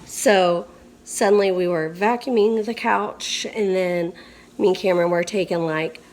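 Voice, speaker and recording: A young woman talks slowly and wearily, her voice hoarse and broken by coughs. She sounds worn down and frustrated, and a faint hiss sits under the recording. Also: medium pitch, steady tone, a little mellow. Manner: tired, frustrated, drawn-out speech. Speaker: vlogger. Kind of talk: casual vlog chat.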